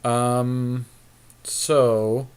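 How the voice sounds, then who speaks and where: A man's voice: mid-range voice, level tone, extremely slow, a little mellow, clear, resonant tone. A man co-hosting a podcast, giving casual card game draft commentary and thinking aloud in an easygoing, tentative way. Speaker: podcast co-host.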